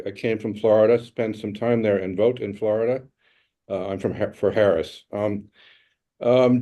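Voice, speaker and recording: Male caller, mellow mid-range voice, putting a political question to a panel. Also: medium pitch. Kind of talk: brief question on a political video call.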